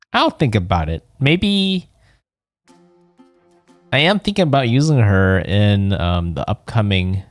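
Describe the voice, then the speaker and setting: Male livestreamer, fairly low voice, loose think-aloud chat during gameplay, music behind. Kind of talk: casual chat while playing a game.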